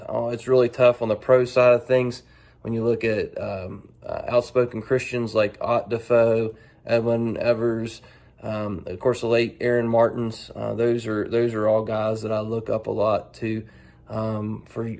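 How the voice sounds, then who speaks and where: A man speaking casually and haltingly as an angler answering a set of profile questions, restarting phrases as he goes. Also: on the low side, little pitch movement, gravelly, a little mellow.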